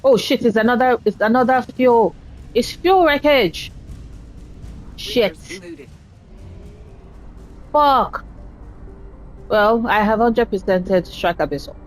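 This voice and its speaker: A woman casually commentating her own game playthrough, chatty and self-deprecating, speaking low and soft because talking hurts right now. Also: animated, slow pace, pauses often, faint music behind, rough recording. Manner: chatty, self-deprecating, swears at setbacks in play. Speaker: gaming channel host. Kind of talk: casual let's-play commentary.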